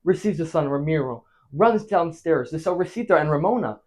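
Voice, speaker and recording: A male actor playing a flustered married man in a theatrical comic monologue, stammering and pausing dramatically as he recounts a disastrous night. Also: a little high, expressive, a little mellow, close to an everyday voice. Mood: frantic, flustered. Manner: stammering, dramatic pauses, big emotional swings.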